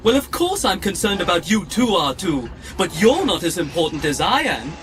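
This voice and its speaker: An actor playing a fussy, anxious, self-important golden droid with prim, formal manners in an audio drama. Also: male voice, high-pitched, very animated, normal speed, over loud music. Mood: panicked, fretful.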